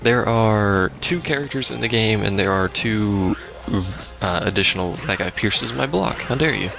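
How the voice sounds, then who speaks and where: A man's voice: on the low side, moderate pace, vocal fry, sharp tone. A man giving casual, rambling live game commentary as a let's play host, with wry asides. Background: video game music.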